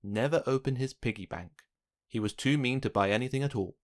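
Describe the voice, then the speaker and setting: A man narrating a children's picture book, reading aloud with expressive, dramatic emphasis. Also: mid-range voice, brisk. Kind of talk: scripted storybook narration for children.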